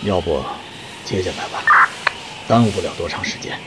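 Man as calm low-voiced explorer, noisy background. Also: moderate pace, close to an everyday voice. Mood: thoughtful. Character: brave, philosophical male space explorer. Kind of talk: audio drama acting. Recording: clearly noisy ambience.

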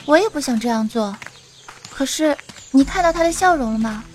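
A woman's voice: sits high, animated, moderate pace, slight background noise. A woman playing an earnest young female adventurer who bosses her companion in an audio drama. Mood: wistful. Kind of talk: Chinese audio drama acting.

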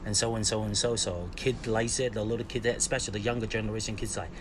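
A man's voice: fairly low pitch, level tone, quick pace. A man in his forties from Hong Kong, a skateboarder being interviewed, telling stories casually in English, laughing often and acting out quotes. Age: 40s. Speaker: guest, skateboarder. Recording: clear background noise.

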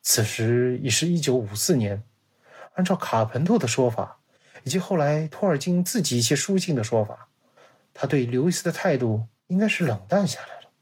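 A man's voice: medium pitch, expressive, normal speed, a little mellow, slightly rough. A man giving a scripted, dramatized narration like a radio play, acting out quoted dialogue as he tells it. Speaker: guest storyteller.

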